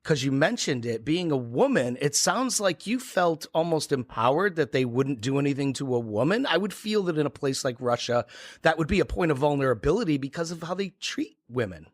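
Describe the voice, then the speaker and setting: Host, male, slightly high voice, dry close-miked sound, reactive and probing. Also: sing-song, fairly quick, no echo. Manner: reactive, exclaims, asks probing questions. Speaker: podcast host. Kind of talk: casual interview chat.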